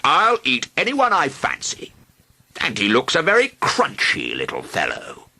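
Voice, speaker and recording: A man's voice: mid-range voice, wildly swooping pitch, measured pace, creaky, pushed and bright, breathy edge, pitched above the performer's own voice, exaggerated voice. A man voicing a vain, rude and cowardly huge male dragon in a storybook, blustering and melodramatic. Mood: smug. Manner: blustering and melodramatic, wailing when wounded. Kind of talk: storybook reading.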